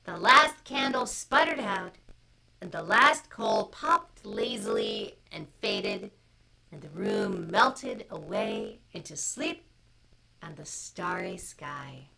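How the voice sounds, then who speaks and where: A woman co-hosting story time, reading a picture book aloud in a hushed, drowsy manner. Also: sits low, huge pitch swings, slow, vocal fry, low-quality recording.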